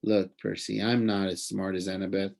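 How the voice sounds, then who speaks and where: A man voicing a gentle, modest young male satyr, speaking in an earnest, reassuring way as part of a storybook reading. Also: sits low, flat delivery, moderate pace, creaky.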